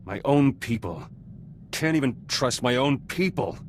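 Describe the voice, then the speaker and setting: Man as vengeful game freedom fighter, male, dramatic, clipped phrases, very animated mid-range pitch. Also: a little slow, noisy background, lightly acted. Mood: bitter, betrayed. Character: bitter, vengeful male resistance fighter, fiercely loyal. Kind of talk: scripted video game voice acting.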